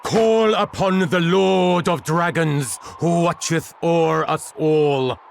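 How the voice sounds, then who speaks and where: Stern, slow-preaching bishop. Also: male voice, a little high, vocal fry, slight background noise. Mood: grave, accusing. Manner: solemn, archaic, preachy oratory. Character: stern, sanctimonious bishop presiding over a witch trial. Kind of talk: dramatic video game acting.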